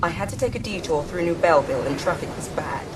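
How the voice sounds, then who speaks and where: Actress as a commanding woman, very expressive delivery. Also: lowish voice, fast, noisy background, lightly acted. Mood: businesslike, weary. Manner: commanding, sharp-tongued. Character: cold, ambitious businesswoman and exasperated, stern mother. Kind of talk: video game cutscene acting. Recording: music behind the voice.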